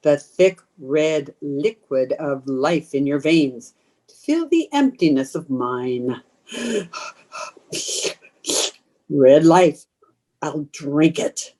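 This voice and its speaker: Woman playing a slow, low, menacing old vampire woman. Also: animated, mellow, exaggerated voice. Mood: menacing, hungry. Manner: slow, insistent, incantatory. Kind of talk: old-time radio horror acting.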